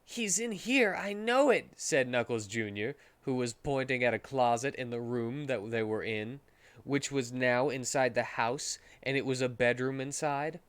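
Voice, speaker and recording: A man with a mid-pitched voice narrates a story aloud. He reads it in a mock-dramatic streamer style. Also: steady tone, normal speed, clean tone, dry recording.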